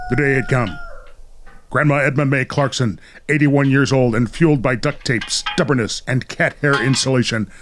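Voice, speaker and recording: A man's voice: medium pitch, noisy background. A man working as host and narrator of a radio play, moving between storytelling and casual talk.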